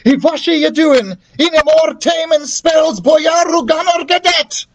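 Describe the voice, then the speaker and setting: A man voicing an earnest, excitable young boy in a storybook dragon adventure, with urgent exclamations. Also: extremely high pitch, a little slow, voice pushed up. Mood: urgent, alarmed.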